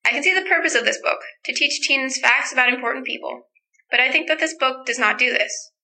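Very bright, higher-pitched teenage girl. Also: brisk. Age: teen. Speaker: teen book reviewer. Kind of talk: prepared book review.

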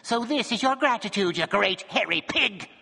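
A man voicing a proud male dwarf suitor with a shrill, high voice, in a reverberant space. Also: lively intonation, moderate pace, gravelly, sharp tone, slightly rough, exaggerated voice. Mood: scolding. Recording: long hall reverb.

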